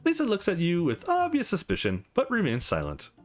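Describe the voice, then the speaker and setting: A man reading a visual novel aloud as a let's play host, in an expressive storytelling manner. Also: high voice, brisk, faint music behind. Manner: animated, voices each character's dialogue. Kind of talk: expressive narration with asides.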